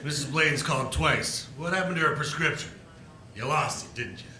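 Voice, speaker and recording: A man's voice: fairly high pitch, moderate pace, sharp tone. A man playing a grieving, drunken middle-aged druggist in a live radio play, blustering and emotional. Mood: angry, bullying. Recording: echoey room with clear background noise.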